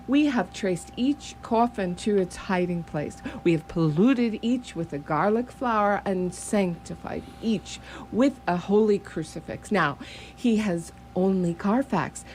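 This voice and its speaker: Woman acting a slow, solemn vampire hunter. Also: mid-range voice, darkish tone, lightly acted. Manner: measured, solemn, formal. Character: grave, resolute vampire hunter, leader of the band. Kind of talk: radio theatre acting. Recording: radio play with background hum.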